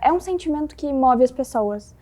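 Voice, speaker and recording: A woman of about twenty with a high voice answers interview questions. She speaks gently and earnestly. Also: expressive, normal speed. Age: around 20.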